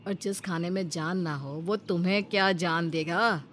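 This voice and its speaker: A woman's voice: fairly low pitch, a little slow, fairly bright tone, slight background noise. A woman voicing a sensible young husband, patient and explanatory, in a cartoon story. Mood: explaining. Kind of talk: Hindi cartoon story acting.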